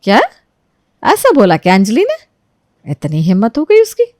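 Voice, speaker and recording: A woman acts out an older mother-in-law in a storybook drama. She is motherly and credulous, with a medium-pitched voice and a dry, close recording. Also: huge pitch swings, normal speed, darkish tone, no echo. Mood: indignant. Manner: motherly, easily swayed. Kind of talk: dramatized Hindi moral story acting.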